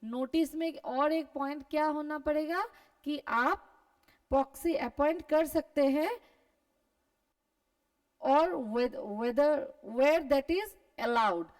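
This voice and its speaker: Slow, high-pitched Indian woman lecturer. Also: darkish tone. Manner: explanatory, repeats points, asks and answers own questions. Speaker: teacher of company law classes. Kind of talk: lecture with slow dictation, switching between Hindi and English. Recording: voice distant from the microphone.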